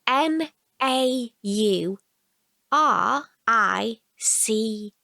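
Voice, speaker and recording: A woman voicing a confused elderly lady who murmurs slowly and wistfully to herself in a story. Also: a little high, expressive, vocal fry, bright, forceful tone, dry recording, exaggerated voice. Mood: puzzled. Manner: slow, halting, murmured speech.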